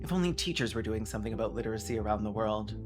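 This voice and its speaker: A man hosting a video essay, delivering scripted commentary in a sarcastic, deadpan manner. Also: fairly low pitch, racing, over music.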